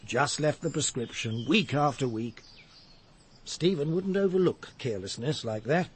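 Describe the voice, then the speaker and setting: An actor playing a blustery, long-practising country doctor who speaks haltingly and excitably in a radio drama. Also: male voice, medium pitch, slight background noise, close to an everyday voice. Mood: uneasy.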